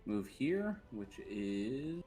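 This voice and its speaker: A man with a mid-pitched voice, thinking aloud and asking questions while he plans his move in a tabletop game. Faint music runs in the background. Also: big pitch swings, very slow, warm tone. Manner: joking, then unsure while sorting out his turn. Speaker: player. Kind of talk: playing a tabletop game over voice chat.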